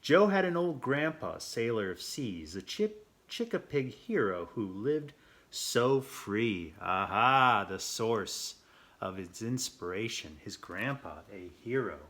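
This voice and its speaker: A man reading a children's picture book aloud as host, playful and theatrical, with expressive asides and questions. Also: on the low side, slow pace. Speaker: storyteller, host of a read-aloud show.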